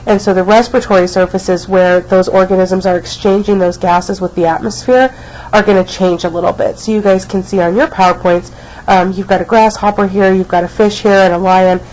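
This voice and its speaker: A woman's voice: medium pitch, fairly even intonation, brisk, a little mellow, low-quality recording. A woman teacher recording a casual biology lecture, snuffly from a cold, with many ums as she talks. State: has a cold, snuffly and coughing. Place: at home, not at school.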